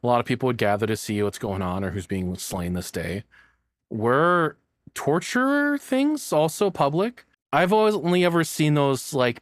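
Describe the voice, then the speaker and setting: A man's voice: mid-range voice, dry recording, studio quality. A man giving casual, joking reaction commentary as a video host, rambling and swearing in a flippant way.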